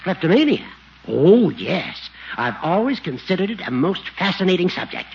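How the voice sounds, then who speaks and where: An actor playing a genial, absent-minded old judge in 1940s radio comedy, speaking in formal, rambling flourishes. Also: male voice, high voice, very animated, moderate pace. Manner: florid, rambling, formal wording. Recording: dull, hissy 1940s radio recording.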